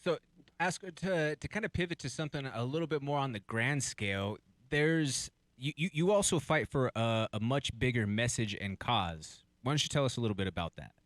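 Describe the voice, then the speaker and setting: A man's voice: medium pitch, lively intonation, a little fast, no echo. A man co-hosting a sports radio show, casually chatting and asking a guest questions with a few restarts. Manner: friendly, asks questions with restarts. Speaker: radio co-host. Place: radio studio.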